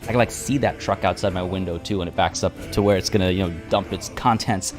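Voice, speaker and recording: Slightly hoarse man streaming a game. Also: low voice, steady tone, quick pace, over music. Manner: chatty, greets chat viewers by name. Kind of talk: casual live-stream game commentary. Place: home room by a window.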